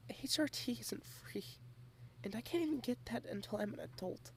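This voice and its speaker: A woman speaking softly in a close-mic ASMR girlfriend roleplay, shy and rambling, with halting repeated starts and frequent half-whispers. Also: fairly high pitch. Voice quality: soft, often half-whispered.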